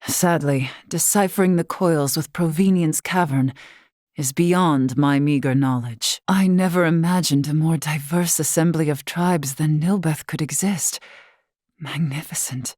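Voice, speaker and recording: Solemn, low-key courtly warrior woman. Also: a little mellow, dry recording, clean studio sound. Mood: solemn, measured. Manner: formal, measured, archaic diction. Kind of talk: scripted video game character dialogue.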